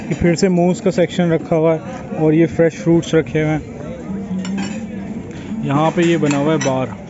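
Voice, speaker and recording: Young guy chatting on a phone cam at a busy outdoor buffet, muffled lo-fi sound, fairly high voice. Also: moderate pace, pauses often, over music. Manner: relaxed, chatty, pointing things out as he walks. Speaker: food vlogger. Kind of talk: casual walkthrough vlog. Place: outdoor buffet at a waterfront restaurant. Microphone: phone camera held at arm's length. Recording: dull lo-fi sound with crowd chatter.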